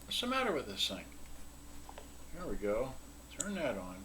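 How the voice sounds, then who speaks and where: A man giving a casual, unscripted product demonstration, halting and scattered as he mutters to himself. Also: mid-range voice, huge pitch swings, pushed and bright. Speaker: seller demonstrating vintage cassette radio. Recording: very noisy home video with clicks.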